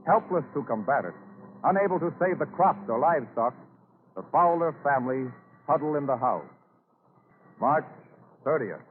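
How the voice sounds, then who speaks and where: A man's voice: fairly high pitch, expressive, normal speed, pauses often, slight background noise, close to an everyday voice. A man narrating an old-time radio drama in a grave, dramatic, scripted style. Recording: tinny old radio recording, music behind.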